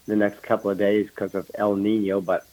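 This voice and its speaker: Low-voiced, halting older American man. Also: level tone, dark tone, poor audio. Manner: halting, hesitant delivery. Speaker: guest, former mayor. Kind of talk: casual chat.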